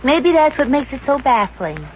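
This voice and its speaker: An actress playing a sardonic, quick-witted American working girl in a 1950s radio comedy. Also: high-pitched, very animated. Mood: deadpan, sly. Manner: sardonic, breezy wisecracking. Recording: muffled, hissy old radio recording.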